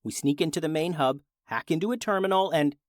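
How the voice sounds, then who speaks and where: A man's voice: on the high side, brisk, no echo, natural voice. A steely young woman in command of a space crew, as a male storyteller reads her. She speaks steadily and grimly, giving orders in a children's adventure tale. The recording is dry and close.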